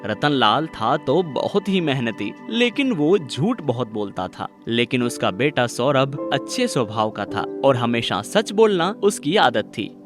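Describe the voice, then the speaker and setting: A man's voice: mid-range voice, very animated, unhurried, few pauses. A man narrating a scripted moral story for children in a storytelling style. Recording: music behind the voice. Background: background music.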